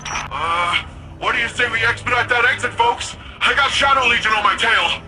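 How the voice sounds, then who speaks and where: A man's voice: very high voice, moderate pace, poor audio. A man playing a brash, upbeat young guardian of a futuristic city over radio comms in a video game, talking in breezy slang. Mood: urgent.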